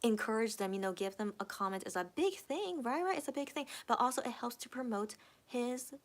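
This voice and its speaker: A woman's voice: a little high, lively intonation, a little fast. A young adult woman hosting a casual live stream, reading out chat comments and answering them in a rambling way, with lots of fillers and repeated words. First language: English. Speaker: livestream host reading chat.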